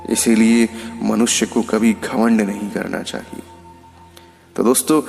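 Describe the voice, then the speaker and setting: A man's voice: medium pitch, in a large hall. A man narrating a scripted moral story as a storyteller.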